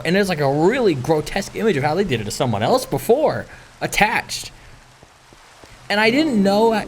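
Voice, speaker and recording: Guy rambling on a gaming video, pitch goes all over, kinda self-conscious and halting, bit of background noise. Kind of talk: casual rambling chat while playing.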